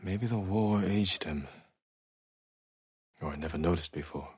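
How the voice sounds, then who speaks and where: Low, husky, stoic samurai. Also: male voice, no echo, clean studio sound, full character voice. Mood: pensive. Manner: restrained, solemn, measured delivery. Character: stoic, honorable samurai warrior, grieving and reflective. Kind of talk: dramatic video game acting, reflective monologue.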